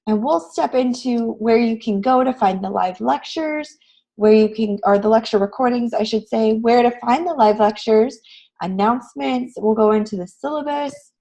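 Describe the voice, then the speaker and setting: A woman with a raspy voice, hoarse from a cold, teaching a live online class in an encouraging, conversational way. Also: a little high, unhurried, warm tone. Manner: encouraging, addresses students with frequent questions. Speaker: online graphic design instructor. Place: online class session, screen shared.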